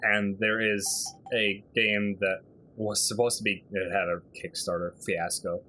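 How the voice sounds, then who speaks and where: Bright-voiced man, casual gamer. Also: lowish voice, flat delivery, a little slow. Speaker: let's play host playing the game. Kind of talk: casual gaming commentary. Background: faint game music.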